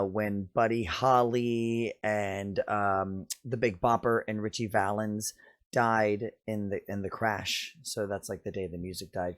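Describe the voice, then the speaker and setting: A man in his forties with a deep, raspy voice, chatting enthusiastically and casually as he reacts to music. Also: dry recording, studio quality.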